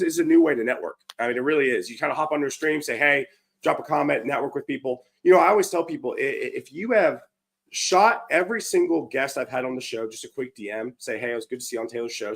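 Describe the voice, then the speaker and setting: A man hosting a live show, hoarse from a cold, upbeat and chatty with lots of fillers and restarts. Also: medium pitch. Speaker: livestream show host. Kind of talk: casual live-stream chat.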